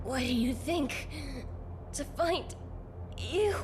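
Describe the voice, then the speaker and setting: A woman playing an earnest, anxious young heroine, narrating her own story in a measured way for an audio drama. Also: sits high, expressive, background noise, exaggerated voice. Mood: tense. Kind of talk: scripted first-person audio drama narration.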